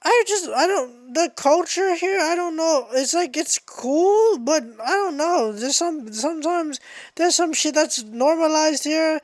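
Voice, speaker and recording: A young adult woman hosting a podcast in a casual, slangy, sweary freestyle ramble, scattered and excitable, close on the microphone. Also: high voice, unhurried, no echo. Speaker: podcast host. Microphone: close microphone through a mixer.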